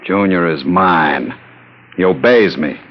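An actor playing a cold, commanding crook who coolly coaxes and menaces in an old-time radio drama. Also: male voice, sits low, slow, a bit bright, heavily acted. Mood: smug, coaxing. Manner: domineering, coolly coaxing. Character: cold, commanding, menacing male crook, smooth-talking boss.

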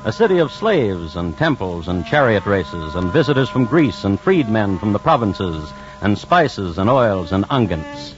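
Music sits behind a dull old radio recording of a male narrator. He tells his crime story deliberately, with dry wit and a lively, varied pitch.

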